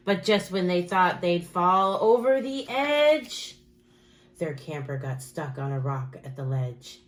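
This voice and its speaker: Woman reading a children's storybook, lowish voice. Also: slow. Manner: expressive, rhythmic storytelling with dramatic emphasis. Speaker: early childhood teacher. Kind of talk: children's picture book read-aloud.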